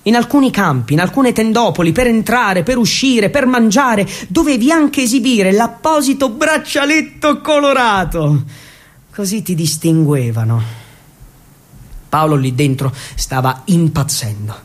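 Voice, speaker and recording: A man delivering scripted theatrical narration, emphatic and dramatic, with deliberate pauses. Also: high voice, animated. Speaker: actor and storyteller.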